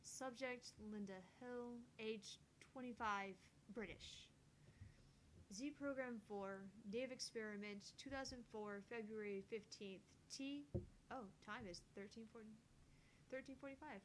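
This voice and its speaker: A woman's voice: on the high side, a little slow, slight background noise, poor audio. A woman reading visual novel dialogue and scripted reports aloud. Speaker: amateur voice reader of a visual novel.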